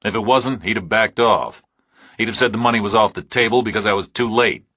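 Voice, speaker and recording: A man reads as a tough male investigator in an audiobook. He is unflappable and laconic, very deep and husky, with matter-of-fact delivery. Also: fairly quick, pushed and bright, no room sound, clean studio sound, heavily acted. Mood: calm, assured.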